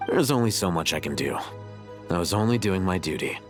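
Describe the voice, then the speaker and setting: An actor playing a calm, rational young male intellectual in a video game, with a measured, dry, matter-of-fact delivery. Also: deep voice, music in the background. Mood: composed.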